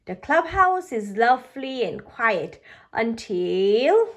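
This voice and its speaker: A woman reading a children's storybook aloud, narrating expressively and deliberately for young listeners. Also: a little high, very animated, noisy background. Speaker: storybook reader.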